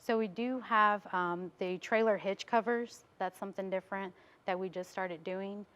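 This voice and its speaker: A woman's voice: mid-range voice, fairly even intonation, normal speed, a bit bright, lo-fi sound. An American woman being interviewed as a business owner in a TV studio, explaining things in a casual way.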